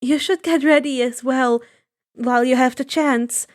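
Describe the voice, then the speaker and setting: A woman voicing a gentle, caring, slightly tentative woman in a story reading. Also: sits high, steady tone, measured pace. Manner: kindly, tentative. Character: gentle, caring, soft-hearted woman lawyer.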